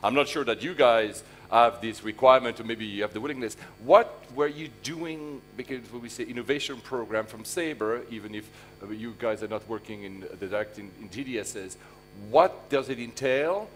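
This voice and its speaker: A man's voice: medium pitch, normal speed, soft background music, rough recording. A man moderating a live panel, asking informal, chatty questions and addressing the audience directly.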